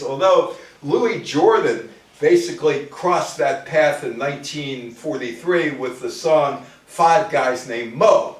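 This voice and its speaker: Man lecturing in a very echoey room. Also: fairly high pitch. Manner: asks the listeners rhetorical questions. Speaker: lecturer, public speaker. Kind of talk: informal history lecture to a live audience. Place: indoor room with a live audience. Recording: very echoey room, distant mic.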